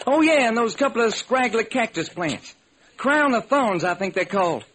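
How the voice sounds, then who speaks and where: An actor playing a baffled, folksy police lieutenant in an old-time radio detective drama, talking in earnest slang. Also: male voice, very high voice, animated, moderate pace, a bit bright. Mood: puzzled, folksy. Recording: noisy vintage radio recording.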